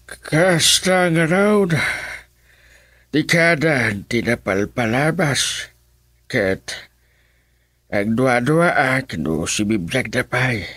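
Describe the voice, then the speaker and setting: A man's voice: mid-range voice, very animated, very slow, long pauses, fairly bright tone, no echo, exaggerated voice. A man playing a polite, wistful old returnee in a radio drama, with an Ilocano accent and a hesitant, repeating delivery mixed with English.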